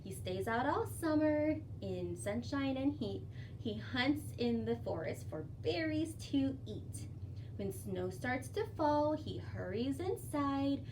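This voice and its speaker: A high-voiced woman reads a children's picture book aloud with lots of expression. Her pace is slow and sing-song, lingering on the rhymes. She is recorded a little way from the microphone. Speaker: children's library storyteller. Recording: distant microphone with faint background noise.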